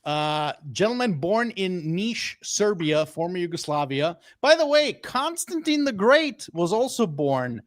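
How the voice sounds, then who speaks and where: High-voiced enthusiastic man presenting music. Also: sing-song, a little slow, few pauses, no echo, clean studio sound. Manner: enthusiastic, rambling with repeated words. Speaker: music segment presenter on audio roundtable. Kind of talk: casual roundtable chat.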